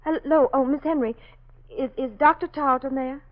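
A woman's voice: high-pitched, steady tone, normal speed, close to an everyday voice. A woman playing an anxious, insistent young daughter in 1940s radio drama, emotional and pleading. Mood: polite, shaken. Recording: muffled 1940s radio recording.

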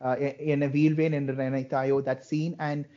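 A man co-hosting a podcast, halting and hesitant with many fillers. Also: mid-range voice, flat delivery, mellow, muffled recording. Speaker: podcast co-host. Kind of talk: casual chat.

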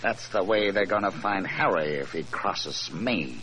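A man's voice: sits low, animated, unhurried, poor audio. An American man playing a cold, mocking gangster boss in a 1940s radio crime drama, tough and slangy. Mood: curt, threatening. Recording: vintage 1940s radio recording, faint noise.

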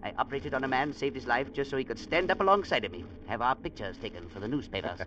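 Man playing a gruff old-time radio sea captain. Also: medium pitch, lively intonation, natural voice. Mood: stubborn, resolute. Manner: blunt, salty, folksy speech with deliberate emphasis. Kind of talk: 1940s-50s radio drama acting. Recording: noisy, muffled old radio broadcast.

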